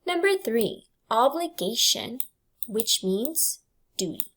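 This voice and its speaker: A woman teacher reading test questions and answer choices aloud in a deliberate, enunciated way for students. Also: on the high side, sing-song, fairly bright tone, slightly rough. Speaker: English language arts teacher.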